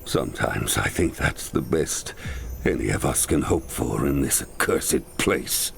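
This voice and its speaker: A man's voice: extremely low pitch, expressive, a little slow, creaky, slight background noise, exaggerated voice. An actor playing a worried, earnest male leader of forest folk in a fantasy video game, grave and pleading. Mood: grieving, grave. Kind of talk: video game character acting. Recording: music behind the voice.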